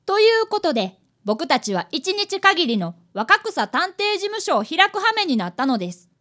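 A woman's voice: very high-pitched, big pitch swings, normal speed, sharp tone, full character voice. A woman playing an earnest, polite schoolboy of about eleven, an apprentice detective in a radio drama. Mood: narrating. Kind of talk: radio drama acting and narration.

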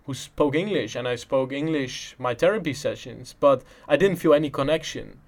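A man's voice: medium pitch, moderate pace. A man from the Netherlands, a native Dutch speaker, talking casually in English and rambling with frequent restarts. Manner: rambling, many restarts and "in a sense". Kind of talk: casual conversation.

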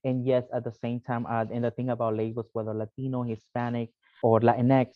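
A man's voice: mid-range voice, little pitch movement, brisk, no echo. A man from El Salvador, a podcast guest, explaining his views casually with many fillers like "you know". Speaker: podcast guest, alumni network vice president. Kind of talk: casual interview answers. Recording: dull, dry lo-fi sound.

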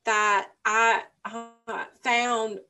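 A woman's voice: on the high side, flat delivery, extremely slow, bright, forceful tone, faint music behind. A middle-aged woman speaking thoughtfully and openly, searching for her words in a casual conversation.

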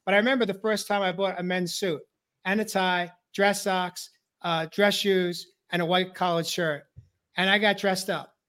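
A man's voice: high-pitched, a bit bright. A man in his fifties from the New York area, hosting a men's talk show and sharing a heartfelt personal story with emphatic, repeated phrases. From New York area, USA. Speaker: host.

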